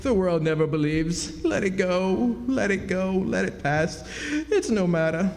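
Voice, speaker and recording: A high, swooping, self-pitying fake duke. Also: male voice, expressive, unhurried, darkish tone. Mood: mournful, grandiose. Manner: melodramatic, mournful, grandly put-upon. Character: self-pitying swindler posing as a wronged, haughty duke. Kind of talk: old-time radio drama acting, performed live. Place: stage in a reverberant historic club hall. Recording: echoey hall stage performance with music.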